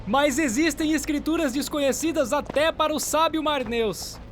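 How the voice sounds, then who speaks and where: Man voicing a very high-pitched feisty young warrior. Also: normal speed, a bit bright, faint music behind. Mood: teasing. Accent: Brazilian. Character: feisty young warrior aspirant, fiercely protective. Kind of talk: audio drama acting.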